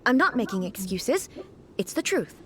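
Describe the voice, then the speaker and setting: A woman voicing a reclusive, contemplative female deity in a video game, speaking in a measured and articulate manner. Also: a little high, big pitch swings, slight background noise. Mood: defensive.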